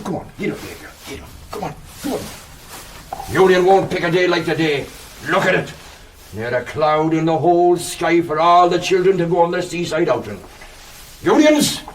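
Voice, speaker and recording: An actor playing a crusty, folksy country horse handler in a period radio drama, grumbling and coaxing. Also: male voice, on the high side, far from the mic, a little hiss or hum, lightly acted. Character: crusty, affectionate male rustic horse handler.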